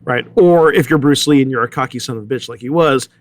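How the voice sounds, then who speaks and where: A man's voice: medium pitch, fast, dry recording. A man in a casual, analytical discussion, talking conversationally and often checking that listeners follow. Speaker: panelist.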